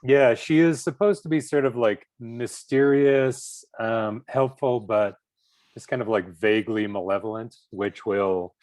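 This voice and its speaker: A man's voice: medium pitch, measured pace, darkish tone, no room sound. A man speaking casually in a group discussion about a creative project.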